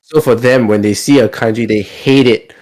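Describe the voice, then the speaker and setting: A man's voice: medium pitch, steady tone, normal speed, a little mellow, low-quality recording. A Singaporean man chatting casually as a podcast host, talkative and often repeating his words as he goes.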